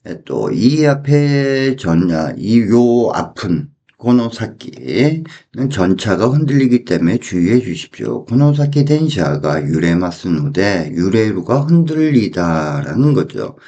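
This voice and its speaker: Guy explaining phrases bit by bit, mellow and slow, repeats stuff. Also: on the low side, very animated. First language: Korean. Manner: deliberate, repeating phrases and translating them piece by piece. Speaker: Japanese language teacher. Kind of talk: explanatory language lesson.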